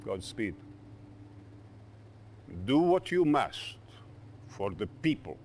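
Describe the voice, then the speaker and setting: An actor playing a ruthless, grandiose Soviet dictator in a video game cutscene, with a menacing, speechifying manner. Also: male voice, medium pitch, big pitch swings, slow pace, darkish tone, a little hiss or hum. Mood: solemn, commanding.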